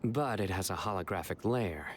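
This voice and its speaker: An actor playing a stoic, earnest officer in a video game, speaking formally and deadpan. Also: male voice, low-pitched, normal speed, a loud crowd, lightly acted. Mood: earnest, solemn.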